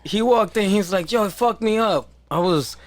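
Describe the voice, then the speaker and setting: A man hosting a casual podcast, excitable and quick to laugh and swear. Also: sits high, no echo. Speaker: podcast host.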